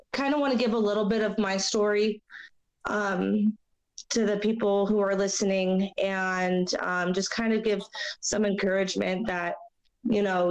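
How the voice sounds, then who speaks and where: A young woman with a raspy, hoarse voice from a chest cold, sharing her story as an interview guest in a halting, earnest way with many fillers. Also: mid-range voice, rough recording. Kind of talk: personal testimony in a podcast interview.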